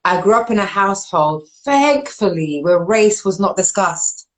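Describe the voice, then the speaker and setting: Lively London woman hosting a live chat. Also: a little slow. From London, England. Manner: animated, laughs often, jumps up in pitch when excited. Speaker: host. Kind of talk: casual live-stream chat.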